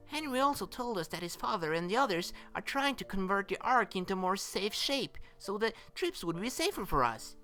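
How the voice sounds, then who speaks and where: An earnest young boy, played by a woman, talks in a bright voice that rises and falls freely. He speaks hesitantly and conversationally, as in an audio drama monologue to a missed companion. Soft music plays behind him. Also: medium pitch. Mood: earnest, hopeful. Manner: hesitant, earnest, conversational with small nervous laughs.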